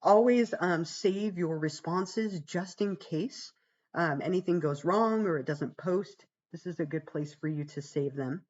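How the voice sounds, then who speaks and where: A woman psychology instructor, hoarse and gravelly from being sick, walking students through a course page in a chatty, reassuring way with many "um"s. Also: measured pace, a little mellow, dull audio. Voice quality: gravelly, deeper than usual. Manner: chatty, reassuring, fillers like "um" and "right?". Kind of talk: casual livestream course walkthrough.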